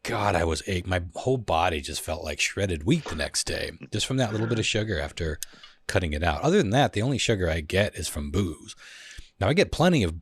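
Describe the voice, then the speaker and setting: A man's voice: deep voice, brisk, slightly rough. A man hosting a podcast, chatting casually and swearing freely, from the Pacific Northwest of the US. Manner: relaxed, swearing often. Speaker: podcast host. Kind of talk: casual chat.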